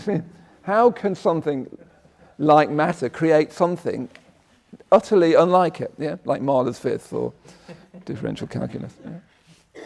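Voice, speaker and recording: A man with a croaky voice giving a conversational Buddhist talk, often checking in with "yeah?" and breaking off his sentences. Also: fairly high pitch, darkish tone. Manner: conversational, tag questions, self-interrupting. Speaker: Buddhist teacher. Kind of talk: dharma talk. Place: meditation hall.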